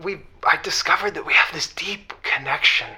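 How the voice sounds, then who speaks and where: A man playing an eager, nervous, smitten office worker phoning a radio show in an audio drama, halting and gushing. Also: on the high side, bright, forceful tone. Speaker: caller. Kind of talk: semi-improvised audio drama acting.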